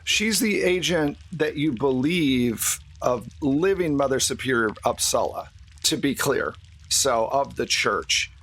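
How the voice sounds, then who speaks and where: Joking male game master, mid pitch. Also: expressive, a little slow, a bit bright. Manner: joking, teasing. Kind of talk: casual tabletop game narration.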